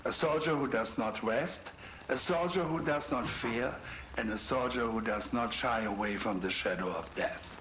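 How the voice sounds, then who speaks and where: An actor playing a cold, proud scientist villain, deliberate and ominous, in a game cutscene. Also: male voice, medium pitch, fairly bright tone, a little hiss or hum, natural voice, poor audio.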